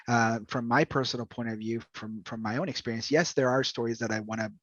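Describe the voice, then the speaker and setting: A man's voice: medium pitch, steady tone, brisk, a bit bright, no echo. A man from Ecuador talking casually as an author on a panel, rambling and tentative, through a dull microphone.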